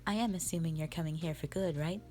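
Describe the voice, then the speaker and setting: A woman playing a strict, commanding mother who leads a warrior band, speaking sternly and deliberately in a roleplay audio drama addressed to the listener. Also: sits low, warm tone, faint music behind, natural voice. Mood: measured, maternal. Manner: stern, deliberate, halting delivery with sighs.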